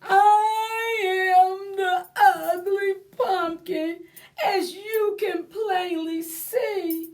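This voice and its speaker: A woman's voice: very high-pitched, steady tone, darkish tone, recorded from a distance, voice pushed up, exaggerated voice. A woman voicing a forlorn, dramatic little pumpkin from a storybook, telling its tale in plaintive, theatrical rhyme. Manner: plaintive, theatrical, drawn-out rhyming delivery. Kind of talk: storybook character read-aloud.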